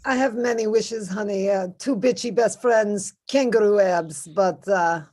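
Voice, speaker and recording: A woman voicing a dry, dramatic, catty pet animal in an animated comedy table read, deliberate in delivery. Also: mid-range voice, close to an everyday voice.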